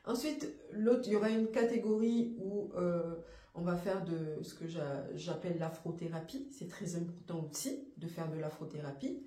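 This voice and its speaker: A woman with a hoarse voice from a cold, presenting an afro hair care course in a halting, thinking-aloud, earnest way. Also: on the low side, fairly even intonation, mellow, echoey room. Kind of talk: informal spoken course presentation.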